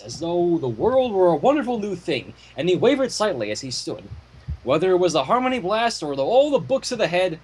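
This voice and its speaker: A man reading fan fiction aloud in a theatrical storytelling style, with casual asides and a husky voice. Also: high voice, poor audio.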